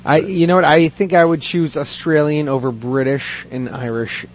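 A man's voice: a little high, steady tone, moderate pace, a little hiss or hum. A man hosting a casual talk podcast, chatting loosely and reading news items aloud. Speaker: podcast host. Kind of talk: casual chat. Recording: dull lo-fi audio.

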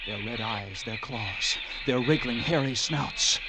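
An actor playing a haunted lighthouse keeper who narrates his tale of terror in intense classic radio drama style, with halting, suspenseful pauses. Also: medium pitch, heavy background noise, close to an everyday voice. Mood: horrified. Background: loud dramatic music.

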